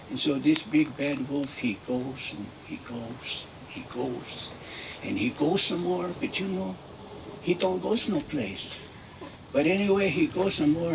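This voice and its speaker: A man playing a Mexican-American storyteller who tells a comic fairy tale in broken English, with drawn-out, repetitive folksy phrasing. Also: on the high side, gravelly, far from the mic, background noise. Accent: put-on Mexican-American.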